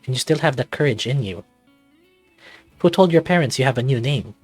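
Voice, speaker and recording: A man's voice: mid-range voice, lively intonation, a little fast, husky, dry recording, soft background music. A man voicing an anxious, self-doubting man in his thirties, narrating a visual novel introspectively in the first person. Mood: self-encouraging. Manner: introspective, confessional, dramatic reading.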